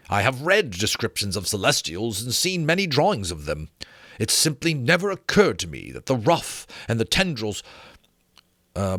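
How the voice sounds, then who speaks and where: A man voicing a learned, apologetic, fretful gentleman in a formal, emphatic storybook reading. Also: medium pitch, dry recording, clean studio sound. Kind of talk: dramatic audiobook reading.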